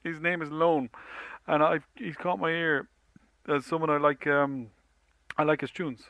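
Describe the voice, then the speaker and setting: A man hosting a radio show, signing off with loose, rambling chat that stops and restarts. His voice sits a little high for a man and comes through dry and close to the mic. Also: flat delivery, fairly bright tone, no echo. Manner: rambling, halting, with many fillers and restarts. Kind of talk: casual sign-off chat between tracks.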